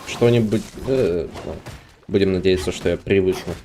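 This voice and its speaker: A man's voice: low voice, normal speed, mellow. A man, a native Russian speaker, giving casual, hesitant, muttered commentary as he streams a video game. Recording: faint game music behind.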